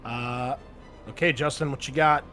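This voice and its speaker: A man's voice: mid-range voice, steady tone, moderate pace. A man running a tabletop roleplaying game as game master, improvising action and rulings in a casual, profane way, thinking aloud. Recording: loud music behind the voice. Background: music.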